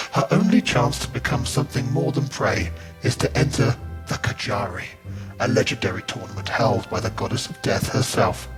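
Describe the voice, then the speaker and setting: A man's voice: lowish voice, low-quality recording. A man reading a scripted book blurb as dramatic narration.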